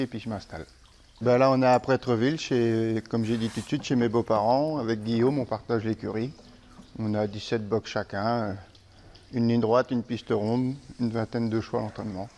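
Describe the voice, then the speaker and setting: A middle-aged man from Normandy, a horse trainer, speaking in a relaxed, halting way with restarts in a casual interview. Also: mid-range voice. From Manche, Normandy, France.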